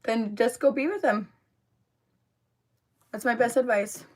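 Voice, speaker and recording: A young woman with a voice hoarse from a cold, chatting casually with viewers during a livestream and filling her talk with "like" and "um". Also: fairly high pitch, a little slow. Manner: chatty, filler-heavy, talks to viewers in the comments. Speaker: livestream host. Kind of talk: casual livestream chat while doing makeup.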